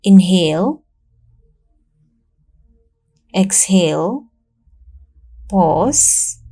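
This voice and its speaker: Female meditation guide. Calm, soothing narration, slow delivery, warm dark tone, generous pauses. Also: on the low side. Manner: calm, soothing, measured instruction with long pauses.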